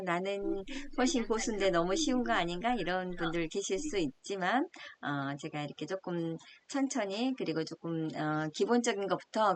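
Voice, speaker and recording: A woman giving a smartphone lesson over a video call, speaking politely and gently with many soft fillers. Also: lowish voice, a little hiss or hum. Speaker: smartphone instructor. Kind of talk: online video-call lecture.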